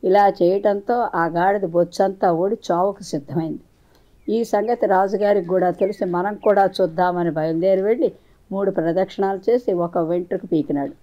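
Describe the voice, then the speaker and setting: A woman's voice: mid-range voice, fairly even intonation, moderate pace, warm tone, lo-fi sound. A woman storyteller reading scripted moral folk tales as a narrator.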